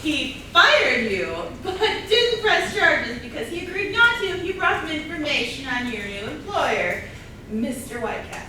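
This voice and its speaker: Woman doing scheming ex-wife fake doctor, very high bright voice, stagey n mocking, echoey room. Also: big pitch swings, measured pace, heavily acted. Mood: gloating. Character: scorned ex-wife posing as a doctor, gloating and indignant. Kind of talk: comedy staged reading. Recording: distant mic, echoey room, faint noise.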